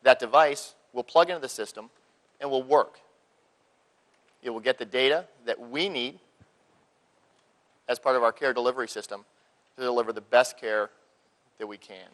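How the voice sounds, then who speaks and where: A man speaking as a healthcare industry panelist, presenting publicly in deliberate, emphatic phrases. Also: mid-range voice, a bit bright.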